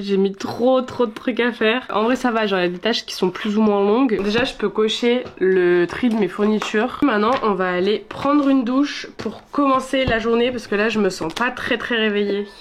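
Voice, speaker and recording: A young woman from France chats through her day in a casual, upbeat vlog, her voice a touch stuffy from a cold. She sits at mid pitch, neither high nor low. Faint room noise hums behind her camera mic. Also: fairly even intonation, moderate pace, few pauses. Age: young adult. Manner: chatty, upbeat, slangy. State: has a cold. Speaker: vlogger. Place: at home.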